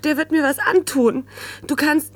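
A woman's voice: high voice, unhurried. A woman playing a timid but stubborn newly homeless widow in a German crime radio drama, hesitant and guarded. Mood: frightened pleading.

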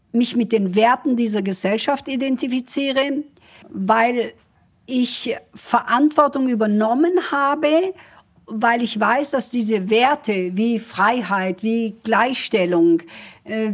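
Middle-aged woman from turkey, kurdish native, bit high and husky, keen and earnest. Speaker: politician guest. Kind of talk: interview answers.

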